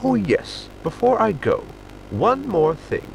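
A man's voice: high voice, huge pitch swings, slow, creaky, a little mellow, slight background noise, exaggerated voice, rough recording. An actor playing a formal, fussy inventor crewman with stiff, precise diction in a sci-fi audio comedy. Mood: dry, fussy. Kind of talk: audio sitcom acting.

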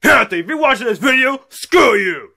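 A man voicing a bratty, defiant young son in an amateur skit, whining and shouting in angry, petulant tantrums. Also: big pitch swings, normal speed, breathy edge, full character voice. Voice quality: high-pitched, loud. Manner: whiny, shouting, petulant name-calling.

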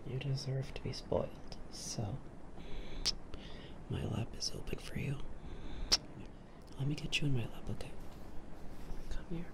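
A man playing a doting young boyfriend in an ASMR role play, tender and soothing, breathy and soft-spoken, close to a binaural mic. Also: mid-range voice, unhurried, long pauses. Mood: tender whisper. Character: doting, affectionate young boyfriend pampering his partner. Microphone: close binaural microphone.

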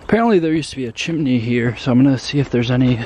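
A young man in his twenties vlogging from a wooded trail, later from his apartment, on a handheld phone. He talks in an easygoing, unsure way and fills his pauses with "uh". His voice sits in an ordinary middle register, with faint background noise. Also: medium pitch. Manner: relaxed, uncertain, many fillers. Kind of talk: casual vlog walkthrough. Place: wooded trail outdoors, then apartment living room.

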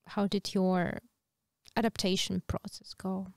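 Young woman podcast host, slow and clear, asking guest questions in a dry studio. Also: medium pitch, flat delivery, a little mellow, no room sound. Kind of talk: interviewing a guest. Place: small curtained podcast studio. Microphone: close studio mic on boom arm.

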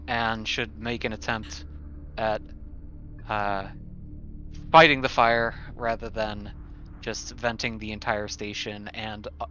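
A man chats through his turn in a tabletop roleplaying game, hesitant and casual. His voice is bright and slightly low in pitch. The recording is a little dull. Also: slight background noise. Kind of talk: casual in-character game chat.

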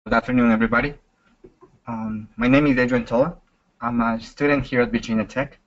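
A young man from Ecuador presents slides in a webinar at a measured pace, with the odd filler. His voice sits mid-range, and the recording is muffled and lo-fi. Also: mid-range voice, fairly even intonation. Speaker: student presenter. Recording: dull lo-fi webinar audio.

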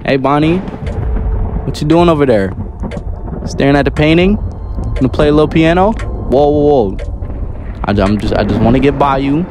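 A young man with a medium-pitched voice plays a horror game and comments as he goes. His talk is casual, slangy and exclamatory, full of joking asides. Music plays behind him. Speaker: gamer making videos.

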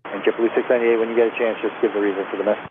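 A man's voice: on the low side, little pitch movement, racing, phone-quality sound. A man working as an air traffic controller, giving clipped procedural instructions over aviation radio. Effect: aviation radio transmission.

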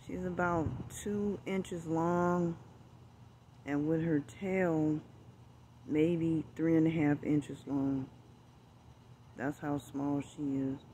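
A man filming in a backyard, talking slowly and gently, often dropping to a hushed voice. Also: a little high, steady tone, slight background noise, low-quality recording. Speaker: birdwatching vlogger. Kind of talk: casual outdoor narration while filming. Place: outdoors in a backyard among bushes. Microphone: handheld phone camera.

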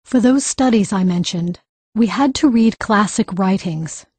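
An actress playing a learned healer-mage, measured, brisk and authoritative, in an audiobook. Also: female voice, mid-range voice, a little mellow, slightly rough, no echo. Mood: grave, explanatory.

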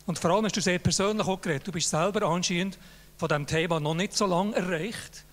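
A man's voice: high-pitched, quick pace. Older Swiss German man in a reverberant hall. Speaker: jury member presenting an award. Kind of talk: Swiss German laudatory speech. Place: large hall on a stage. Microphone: handheld mic.

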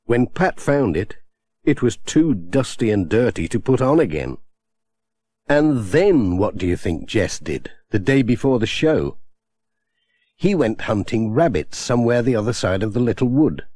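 A man narrating a children's storybook in a gentle, expressive way on a close studio mic. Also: medium pitch, measured pace, no echo. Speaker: audiobook narrator.

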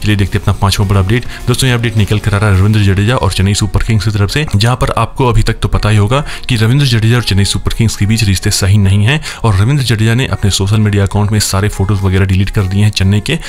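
A man with a fairly low voice reads a cricket news roundup at a brisk clip. He keeps a steady newsreader delivery, greeting viewers as friends. The voice-over is recorded close to the mic. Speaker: cricket news channel host.

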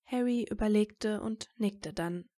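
Lady narrator reading a fanfic audio story, medium pitch. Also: unhurried, dark tone. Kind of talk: scripted narration of fan fiction story.

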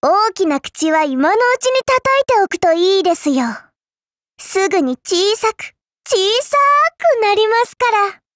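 A woman voicing a childlike, polite but quietly spiteful girl in a game, with a cutely teasing manner. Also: extremely high pitch, animated, a little slow, dry recording, studio quality. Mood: polite disdain. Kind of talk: Japanese game character voice lines.

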